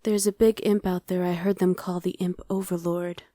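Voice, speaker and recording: A woman's voice: lowish voice, level tone, moderate pace, dark tone, dry recording, clean studio sound, close to an everyday voice. A woman playing a friendly, streetwise young survivor of a demon invasion in an ASMR roleplay, talking casually and matter-of-factly to the listener with some hesitation. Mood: casual, musing. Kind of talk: ASMR roleplay, conversational acting addressed to the listener.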